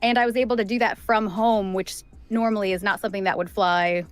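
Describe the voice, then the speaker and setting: A middle-aged woman voice actor chatting casually as an interview guest, animated in her storytelling and acting out quoted remarks. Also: mid-range voice, a little fast. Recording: music playing behind the voice. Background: background music.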